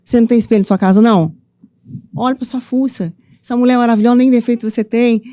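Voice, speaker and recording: A middle-aged woman from Minas Gerais with a Minas accent, telling her story as a studio interview guest with lively, playful earnestness. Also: fairly high pitch, quick pace, no room sound. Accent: Minas Gerais Brazilian Portuguese. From Minas Gerais, Brazil. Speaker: guest, author and mentor.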